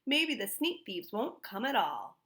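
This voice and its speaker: Woman doing a little boy in a kids story, plaintive n earnest, bright raised voice. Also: on the high side, sing-song, unhurried. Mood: worried. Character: young boy, a reluctant good sport in a storybook.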